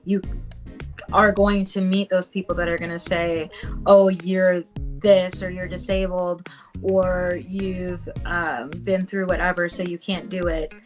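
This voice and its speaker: A woman's voice: lowish voice, level tone, a little slow, soft background music. A young woman guest in a casual video call interview, upbeat and chatty. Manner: upbeat, frequent agreeing murmurs. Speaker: pageant contestant guest. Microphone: video call mic.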